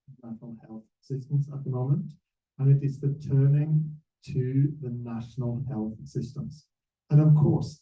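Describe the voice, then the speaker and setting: A man speaking as a panel moderator, giving a public talk in halting, emphatic short phrases. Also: mid-range voice, dark tone. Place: echoey room at a panel event. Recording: muffled, echoey room, distant mic.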